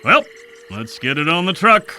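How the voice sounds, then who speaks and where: A man's voice: fairly high pitch, big pitch swings, normal speed, sharp tone, full character voice. A man voicing a grumbling working cargo deliveryman in a video game, plain and laid-back in manner. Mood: matter-of-fact.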